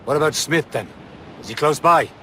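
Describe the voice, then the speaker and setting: Loyal first mate amid noisy waves. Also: male voice, lively intonation, brisk, sharp tone. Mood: steady, practical. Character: loyal, wary male first mate aboard ship. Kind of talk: video game cutscene acting. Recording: boat rumble and wave noise.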